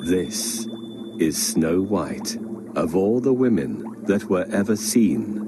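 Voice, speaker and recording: A man voicing a solemn talking magic mirror in a children's animated fairy tale, with formal, measured pronouncements. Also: low voice, heavily acted. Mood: explanatory. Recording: loud background ambience and noise.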